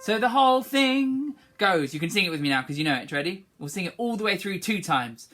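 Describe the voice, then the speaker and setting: A woman's voice: medium pitch, animated, a little fast, a bit bright, soft background music. A woman teaching children a song, speaking encouragingly and singing short phrases for them to repeat. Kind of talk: online singing lesson, call and response.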